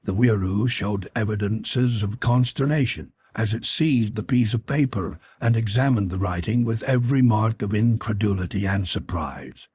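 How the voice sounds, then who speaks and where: A man reading a novel as an audiobook narrator, in measured, formal storytelling style. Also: on the low side, a little mellow, husky, dry recording. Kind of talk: scripted literary narration.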